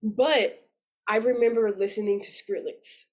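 A woman's voice: mid-range voice, steady tone, measured pace. A woman in her twenties chatting as an interview guest, telling personal stories in an informal, slangy way. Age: 20s. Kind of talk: casual chat, storytelling.

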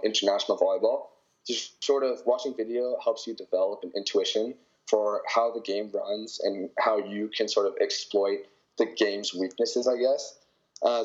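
A young man from Canada, a college volleyball player, answering interview questions in a relaxed way with many fillers. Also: on the low side, dull audio. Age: young adult.

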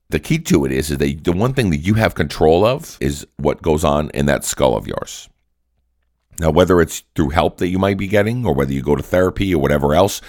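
Solo podcast host, male, deep and raspy with a cold, earnest pep-talk delivery. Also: a little mellow, no room sound, studio quality. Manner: earnest, repetitive, coaching tone.

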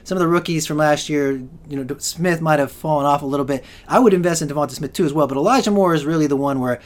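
Fast-talking man with a strained voice. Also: on the high side. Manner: rambling, with many restarts and fillers. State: losing his voice. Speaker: podcast host. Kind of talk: solo fantasy football podcast talk.

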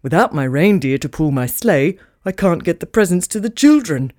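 A woman's voice: low-pitched, very animated, moderate pace, mellow, no room sound, studio quality, pitched below the performer's own voice. A woman voicing a kindly, fretful old male Santa in a children's storybook with fussy, old-fashioned phrasing. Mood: dismayed.

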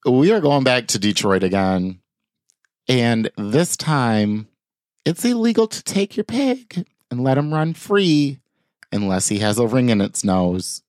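A man's voice: mid-range voice, measured pace, no room sound, studio quality. A man co-hosting a comedy podcast, chatting casually and acting out silly bits with frequent laughter. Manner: animated, laughs often, acts out silly voices. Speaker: podcast co-host.

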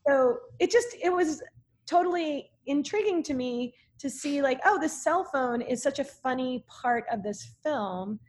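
High-voiced woman chatting as interview guest. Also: lively intonation, measured pace. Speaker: film director, interview guest. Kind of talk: casual interview storytelling.

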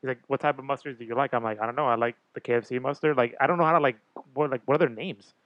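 A man's voice: medium pitch, fairly even intonation, racing, no room sound, highs cut off. A man co-hosting a casual chat, candid and slangy, laughing easily. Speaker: podcast co-host.

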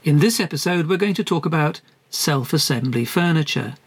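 A man hosting a scripted comedy podcast, interviewing in a dry, halting way with many fillers. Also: on the high side, lively intonation, slightly rough. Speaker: podcast host.

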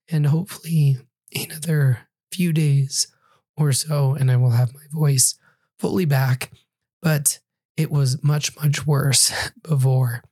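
Hoarse, husky man hosting a podcast. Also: fairly high pitch, dark tone, dry recording. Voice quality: breathy, half-whispered in places. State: hoarse, recovering from losing his voice. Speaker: podcast host. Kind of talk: solo podcast book review.

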